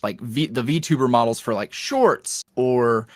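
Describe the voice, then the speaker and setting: A man's voice: mid-range voice, unhurried, no echo, studio quality. A man talking casually as a podcast guest, rambling and self-deprecating, with lots of fillers. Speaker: podcast guest, ASMR roleplay creator. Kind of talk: casual interview chat.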